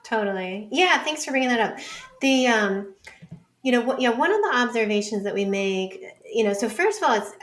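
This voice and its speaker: A woman's voice: on the high side, expressive, normal speed, fairly bright tone. A woman speaking as an expert guest in a conversational interview, explaining informally with lots of hedging words like "like", "sort of" and "you know". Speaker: interview guest, data researcher and book author. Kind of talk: conversational podcast interview answers.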